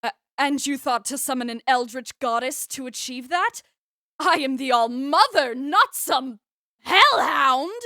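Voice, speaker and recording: A woman's voice: sits high, lively intonation, unhurried, bright, forceful tone, no room sound, studio quality, exaggerated voice. A woman voicing an imperious eldritch mother goddess with formal, haughty diction in a comedy skit. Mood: indignant. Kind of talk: scripted comedic game-parody skit.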